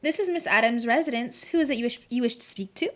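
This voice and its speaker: A woman's voice: a little high, fast talker, close to an everyday voice. An actress playing a formal, guarded woman answering a household telephone in a radio drama, polite and matter-of-fact.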